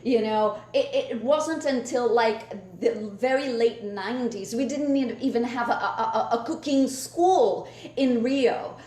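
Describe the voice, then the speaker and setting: A middle-aged woman from Brazil with a Brazilian accent and native Portuguese, talking with passion as an interview guest, telling her story conversationally. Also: fairly high pitch. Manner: enthusiastic, passionate. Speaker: guest chef and cookbook author. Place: indoors on a video call. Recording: roomy, echoey video call.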